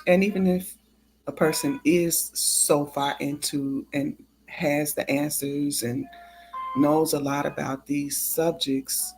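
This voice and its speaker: A livestream host speaks casually at a slow pace, in a mid-pitched voice. The delivery is halting, with drawn-out gaps between phrases. Faint music sits behind the voice. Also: rough recording.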